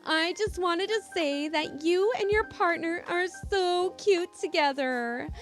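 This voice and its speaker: A woman's voice: extremely high pitch, slow, soft background music, voice pushed up. A woman voicing a gushing, tactless, overbearing mother in a read-aloud story. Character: gushing, tactless mother eager to seem accepting.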